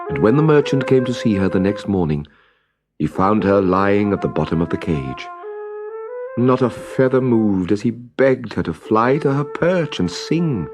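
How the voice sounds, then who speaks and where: A man narrates a children's tale in a low voice. His pitch moves expressively up and down through the sentences. Soft music plays faintly behind him. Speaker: story narrator. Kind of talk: scripted children's story narration.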